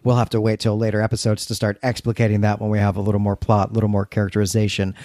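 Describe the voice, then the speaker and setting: A man's voice: fairly low pitch, monotone, racing, a little mellow, dry recording, studio quality. A man co-hosting a podcast, recapping and discussing a story in a conversational way with wry, dryly humorous asides. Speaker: podcast co-host.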